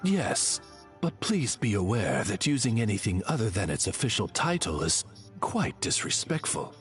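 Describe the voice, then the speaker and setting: A man's voice: fairly low pitch, big pitch swings, normal speed, soft background music. A man playing a dignified raven familiar in an anime game, a courteous, deferential interpreter with formal speech. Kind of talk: English-dubbed anime game acting.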